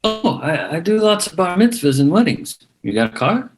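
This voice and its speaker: Man as a casual, very animated suitor. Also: high voice, normal speed, over music. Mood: friendly. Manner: casual, easygoing. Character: friendly, casual, joking congregation man, awkward suitor. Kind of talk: screenplay table read acting.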